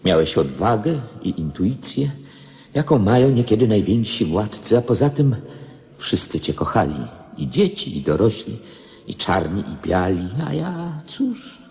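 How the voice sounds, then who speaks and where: Low-voiced, humbled young king. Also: big pitch swings, measured pace, a little mellow. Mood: remorseful. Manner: pleading, formal. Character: defeated young rival king, penitent, envious, humbled. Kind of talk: radio play acting. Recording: long reverberant echo.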